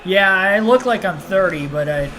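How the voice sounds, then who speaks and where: A man in his twenties chatting excitably as he plays and talks over a racing game on a livestream. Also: sits high, low-quality recording. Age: 20s. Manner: chatty, excitable, quick reactions. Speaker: livestream host. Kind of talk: casual live gameplay commentary.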